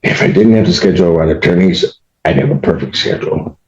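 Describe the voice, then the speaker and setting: A man's voice: sits low, steady tone, quick pace. A man presiding as judge in a courtroom hearing, bantering and teasing. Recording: dull courtroom video audio with faint hiss.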